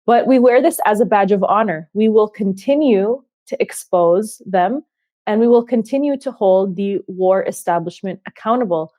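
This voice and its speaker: A woman hosting an interview, speaking in an impassioned, measured way with frequent fillers. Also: mid-range voice, dark tone, dry recording. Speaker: host. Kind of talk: interview discussion.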